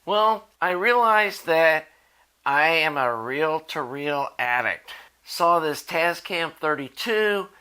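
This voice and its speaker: A man's voice: on the high side, expressive, slow, bright, forceful tone, clean tone. A man, casually explaining a do-it-yourself fix for his tape deck in a folksy, rambling way. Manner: folksy and rambling, many "you know"s. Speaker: electronics DIY video maker.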